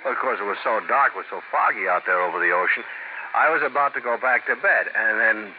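An actor playing an eager, folksy eyewitness in a 1950s radio drama, halting and repeating himself for emphasis. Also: male voice, fairly low pitch, close to an everyday voice. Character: eager, folksy male eyewitness recounting excitedly. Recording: tinny, hissy old radio recording.

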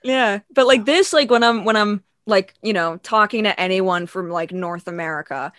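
A woman's voice: medium pitch, normal speed, fairly bright tone, no echo. Canadian-sounding woman in her 20s, giggly casual guest on a call, dry sound. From England. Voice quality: laughs often, easygoing and chatty. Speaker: podcast guest. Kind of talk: casual video-call chat. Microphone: video call.

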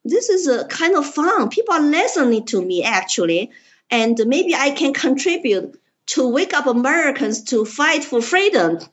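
Middle-aged woman with a Chinese accent, a bit high-pitched, earnest and emphatic interview guest on a slightly muffled lo-fi line. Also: big pitch swings, moderate pace. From China. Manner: earnest, emphatic, simple grammar. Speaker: political candidate, guest. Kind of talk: interview storytelling.

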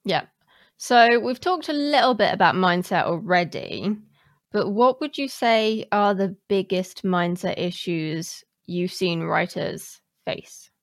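A woman's voice: medium pitch, lively intonation, slow pace, no room sound. Woman interviewer, close dry sound. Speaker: podcast interviewer. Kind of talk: conversational interviewing.

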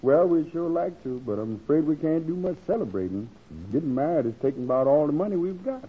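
Quick-talking young radio soldier. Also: male voice, on the high side, expressive, breathy edge. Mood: polite, regretful. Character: polite, modest young soldier bridegroom. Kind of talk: 1940s radio comedy acting. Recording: muffled old radio broadcast.

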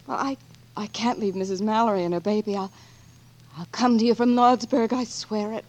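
An American woman acting a devoted, pleading lover of an outlaw in a melodramatic, halting 1940s radio performance. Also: mid-range voice, steady tone, darkish tone, natural voice. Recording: old 1940s radio broadcast, faint music.

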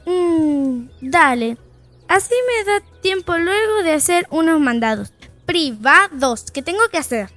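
Rebellious little fairy-tale girl, very high voice, fed up, bossy, sassy, comic audio story, faint music behind. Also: lively intonation, unhurried, fairly bright tone, heavily acted. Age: young girl figure. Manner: sassy, impatient, interrupting. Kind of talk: comedic audio fiction acting.

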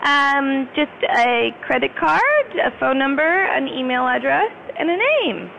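A woman's voice: sits high, unhurried, gravelly, fairly bright tone. A woman working as a hotel receptionist, speaking politely to a customer over the phone. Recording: noisy phone line with ambience.

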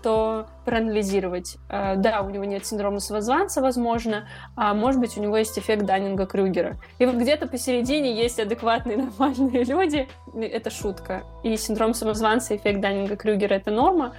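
A woman's voice: a little high, fairly even intonation, a little fast, a little mellow, soft background music. A woman speaking as a podcast guest or presenter, explaining things in an informal, colloquial way.

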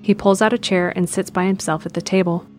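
A woman's voice: fairly low pitch, fairly even intonation, brisk, darkish tone, soft background music. A woman narrator reading scripted present-tense narration for an audio drama.

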